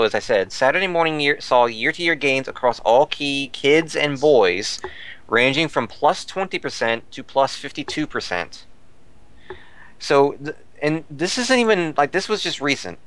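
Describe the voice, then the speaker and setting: A man's voice: medium pitch, pushed and bright, clear, resonant tone, no echo. A man is talking in a casual podcast discussion. He explains things thoroughly and reports details as he goes. He often digresses to add context before returning to his point. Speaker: podcast panelist.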